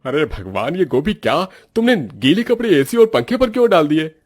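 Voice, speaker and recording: A man voicing an indignant, emphatic young husband with a husky voice in a moral story. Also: fairly high pitch, very animated, moderate pace, darkish tone. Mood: exasperated. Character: exasperated young husband, scolding yet protective. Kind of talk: dramatized Hindi moral story dialogue.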